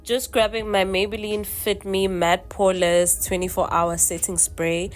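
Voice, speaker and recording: A woman's voice: mid-range voice, flat delivery, moderate pace, faint music behind. A young woman, congested from a cold, chatting through a makeup tutorial and explaining each step. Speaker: makeup vlogger.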